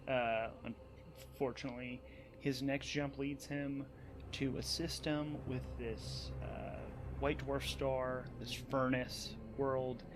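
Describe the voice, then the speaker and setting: A man hosting and narrating a solo tabletop game in a casual way, thinking aloud and improvising haltingly, often repeating words as he goes. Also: medium pitch, a bit bright, a little hiss or hum.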